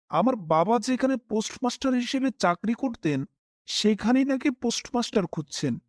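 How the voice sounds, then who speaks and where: A man acts as a dutiful, troubled family man narrating his own animated horror tale. His voice is high and swings widely in pitch, dramatic and emotive, in a dry, close recording. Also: no room sound. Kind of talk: first-person horror story narration with acted dialogue.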